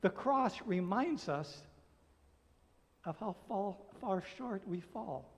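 An American man in his sixties preaching a sermon as a pastor, earnest and emphatic, phrasing deliberately with pauses. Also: high-pitched, expressive. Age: 60s. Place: church sanctuary, at a pulpit. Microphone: gooseneck pulpit microphone. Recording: reverberant church hall.

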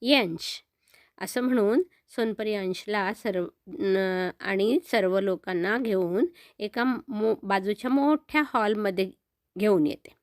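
A woman's voice: mid-range voice, slow, darkish tone, no echo, studio quality. An older woman telling a story to children in a simple, grandmotherly way, often repeating words.

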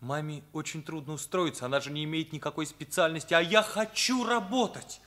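A man's voice: fairly high pitch, a little fast, a bit bright, close to an everyday voice. An actor playing a troubled, sincere ninth-grade schoolboy in a radio drama, earnest, searching and hesitant in his delivery. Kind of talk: Soviet radio drama acting.

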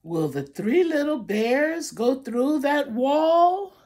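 A woman's voice: sits high, sing-song, slow pace, darkish tone. An older woman reading a picture book aloud to children, theatrically drawing out phrases and adding asides to the listeners. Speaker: storybook reader. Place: small room at home, indoors.

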